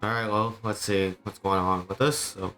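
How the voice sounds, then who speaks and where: A man with a fairly low voice chats casually over gameplay on a livestream. His delivery is halting and rambling, with faint music playing behind him. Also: fairly even intonation, pushed and bright. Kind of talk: casual gameplay stream commentary.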